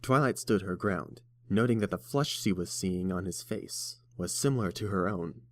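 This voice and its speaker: A man reads scripted story narration in a deep, low voice. The sound is dry and close, with no room around it, as in an audiobook. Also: normal speed, no echo.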